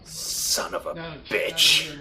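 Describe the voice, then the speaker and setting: A man acting a hot-headed, sarcastic police detective in a radio drama, forceful and blunt. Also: fairly high pitch, sing-song, very slow, full character voice. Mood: agitated.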